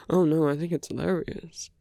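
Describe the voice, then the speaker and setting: A seductive, smug villain acted out by an ASMR performer speaks close and dry, with plenty of gravelly fry. The delivery is intimate and teasing, drifting between a breathy murmur and whispers, as in a romantic audio roleplay. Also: medium pitch, mellow, no room sound. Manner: intimate, teasing, drawling, often dropping to whispers.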